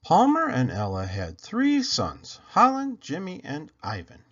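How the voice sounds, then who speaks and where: A man's voice: a little high, huge pitch swings, a little slow, a bit bright. A man reading a children's picture book aloud expressively, with dramatic emphasis and questions put to the listeners. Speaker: storyteller reading picture books aloud, channel host. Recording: dull lo-fi sound.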